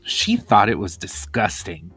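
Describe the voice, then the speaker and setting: Low-voiced man reading a children's story. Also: huge pitch swings, unhurried, a bit bright, husky, no room sound, faint music behind, poor audio. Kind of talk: children's audiobook narration.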